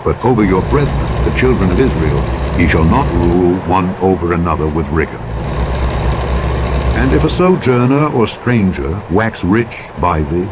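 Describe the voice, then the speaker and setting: A man with a low voice narrates scripture in a solemn, formal register. His delivery is measured and declamatory. Loud truck engine and road noise runs behind him all the time. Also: sing-song, lo-fi sound. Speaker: Bible narrator. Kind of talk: solemn scripture reading from the King James Bible. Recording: loud vehicle engine noise over audiobook playback. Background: truck simulator engine and road noise.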